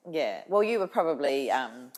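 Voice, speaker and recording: Female podcast interviewer, deep for a woman, attentive and conversational. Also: big pitch swings, moderate pace, darkish tone. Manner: attentive, frequent short acknowledgements.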